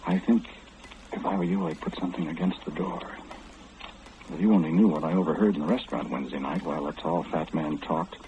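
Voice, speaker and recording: A tinny, slightly noisy old radio horror recording carries an actor as an ominous man who seems to know everything. His voice is very low, and he delivers his warnings in an insinuating, deliberate way with theatrical pauses. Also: natural voice. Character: sinister all-knowing man whispering dread warnings to listener.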